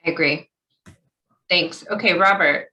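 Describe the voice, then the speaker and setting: A woman's voice: on the low side, measured pace, pushed and bright, highs cut off. A woman speaking informally and candidly as a city official chairing a conference call.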